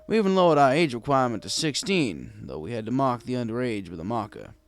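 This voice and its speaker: A man's voice: mid-range voice, very animated, quick pace. A man reading a scripted first-person story as a wry, conversational bartender pony. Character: wry, gruff bartender pony telling his tale. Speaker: story narrator.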